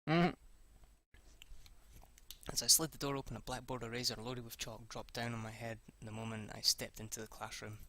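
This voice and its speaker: A man reading visual novel narration aloud for a let's play, a bit halting, with sweary asides. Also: medium pitch, little pitch movement, fairly bright tone. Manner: halting, stumbles over words, sweary asides.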